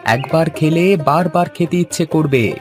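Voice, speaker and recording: A man voicing an earnest, energetic young village son and street hawker in a cartoon. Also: fairly high pitch, a little slow, close to an everyday voice. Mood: hawking cheerfully. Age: young adult. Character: eager, devoted young village son selling fried eggplant. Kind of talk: Bengali cartoon voice acting. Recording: music under the voice.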